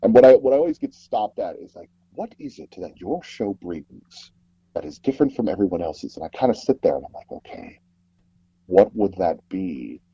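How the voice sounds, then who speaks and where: Low, husky, mellow-voiced man. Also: sing-song, moderate pace, no echo, dull audio. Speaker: interview guest, audio drama producer. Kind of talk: casual interview talk.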